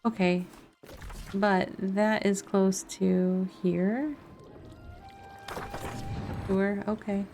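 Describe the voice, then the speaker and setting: A woman's voice: mid-range voice, level tone, drawn-out words, long pauses, a little mellow. A woman doing casual live gameplay commentary, thinking aloud and musing to herself as she plays. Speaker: game streamer. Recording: music behind the voice. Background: background music and game sound.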